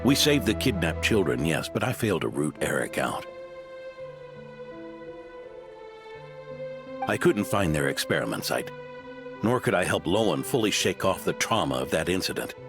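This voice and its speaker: An actor voicing an aging, kindly knight in a video game, measured and reflective, with a weary, self-reproachful manner. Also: male voice, low voice, sing-song. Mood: regretful. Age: older. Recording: game music behind the voice.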